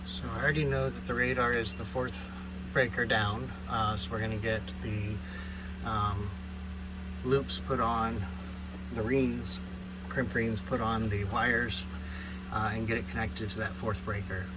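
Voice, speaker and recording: A man's voice: fairly low pitch, fairly even intonation, measured pace, over music, poor audio. A man giving a casual, matter-of-fact how-to explanation to camera as a vlogger, working in a cramped space. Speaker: boat DIY vlogger. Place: cramped interior of a boat in a yard.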